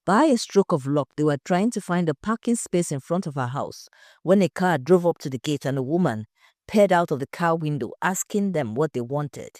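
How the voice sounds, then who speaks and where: A woman's voice: deep voice, animated, normal speed, darkish tone, dry recording, clean studio sound. A woman reading a scripted story as its narrator.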